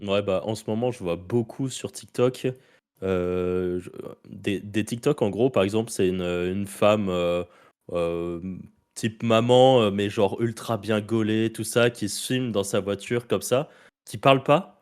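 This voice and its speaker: A French man with a low voice chats on a podcast. He tells anecdotes in loose, slangy speech and keeps a relaxed, informal tone throughout. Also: unhurried, smooth. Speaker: podcast co-host. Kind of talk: casual podcast chat.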